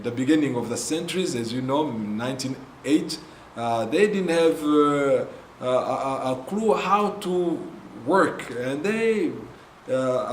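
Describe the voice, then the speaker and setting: A man from Congo with a Congolese accent, telling his ministry's story in a halting way with many pauses and fillers. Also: medium pitch, reverberant, a little hiss or hum, rough recording. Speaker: ministry founder. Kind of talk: earnest spoken testimony.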